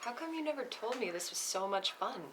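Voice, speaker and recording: A woman's voice: fairly high pitch, expressive, fairly quick, close to an everyday voice. A woman playing a brash, foul-mouthed, thrill-seeking friend in a screenplay table read, with casual, sassy banter. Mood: excited, sassy. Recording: faint background noise.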